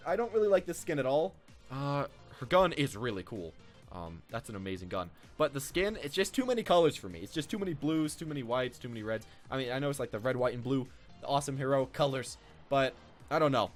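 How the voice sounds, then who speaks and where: A man's voice: mid-range voice, animated, a little fast, faint music behind. A young man giving casual, rambling game commentary as a video creator. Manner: rambling, informal.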